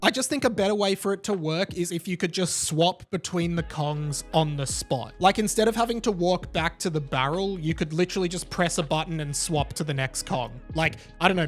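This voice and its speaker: A man hosting a casual, chatty video game commentary, speaking enthusiastically to viewers in slangy language. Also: a little high. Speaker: YouTube video host. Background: background music.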